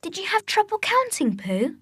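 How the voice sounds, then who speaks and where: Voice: extremely high pitch, wildly swooping pitch, normal speed, noisy background, exaggerated voice. An actor voicing a kind, encouraging young boy in a children's cartoon. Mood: curious, pleased.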